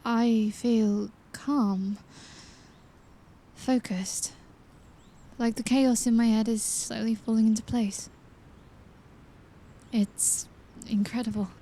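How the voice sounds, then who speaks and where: A woman acting in an audio drama as an earnest young princess in training, speaking with hesitant wonder and deliberate phrasing. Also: fairly high pitch, fairly even intonation, mellow. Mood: wondering, focused. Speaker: voice actor.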